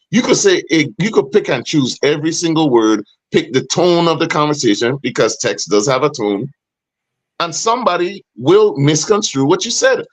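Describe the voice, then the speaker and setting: Deep-voiced, emphatic middle-aged man. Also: dry recording, low-quality recording. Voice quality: deep, booming voice. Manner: animated, emphatic, rising to sharp questions. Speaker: podcast host. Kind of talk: casual podcast discussion.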